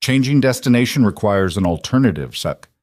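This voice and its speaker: Low, grave mafia boss acted by a man. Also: expressive, a little mellow, dry recording. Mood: guarded, challenging. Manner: slow, terse, grave. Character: grave, guarded, injured male mafia boss. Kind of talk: audiobook character dialogue.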